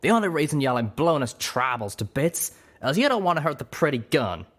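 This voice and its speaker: Guy doing a cowboy pony, defiant, talks through his teeth, pitched up high. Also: sing-song. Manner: slow, defiant, muffled through clenched teeth. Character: feisty drawling male cowboy pony gunslinger.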